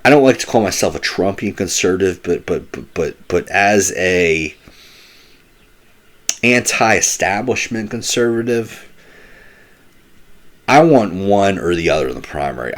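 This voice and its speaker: A man giving opinionated, casual political commentary, slightly hoarse, often restarting his sentences. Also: sits low, unhurried. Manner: animated, opinionated, with frequent restarts.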